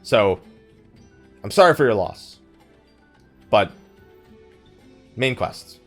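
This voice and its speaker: A man's voice: fairly low pitch, sing-song, very slow, a bit bright, faint music behind. A man giving casual let's play commentary, thinking aloud in a wry, conversational way. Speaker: gaming YouTuber, host of the let's play.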